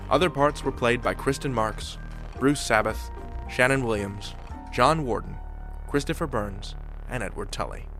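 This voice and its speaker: A man's voice: mid-range voice, fairly bright tone. A man hosting a podcast, reading scripted announcements and credits. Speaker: podcast host.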